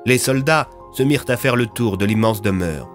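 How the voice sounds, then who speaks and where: A man's voice: mid-range voice, moderate pace, soft background music. A man narrating a scripted audio drama as a storyteller.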